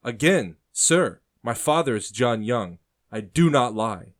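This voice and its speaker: A man voicing a calm, firm young man who speaks plainly and truthfully. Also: medium pitch, moderate pace, voice pushed up, close to an everyday voice. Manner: firm, unfazed.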